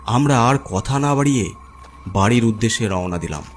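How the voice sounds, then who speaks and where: A man's voice: mid-range voice, animated, moderate pace, faint music behind. A man reading a scripted horror story as its narrator.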